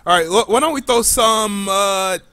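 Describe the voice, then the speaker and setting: A man's voice: high-pitched, moderate pace, pushed and bright. A man hosting a live podcast in a casual, informal way with plenty of slang. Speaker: podcast host.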